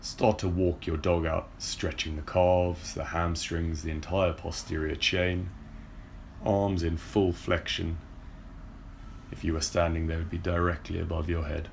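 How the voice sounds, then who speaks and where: Casting: young male movement coach, deep gravelly voice, steady breath cues, faint hiss behind. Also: a little slow. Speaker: yoga and movement instructor. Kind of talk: guided workout instruction. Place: indoor car park with brick wall. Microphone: far camera.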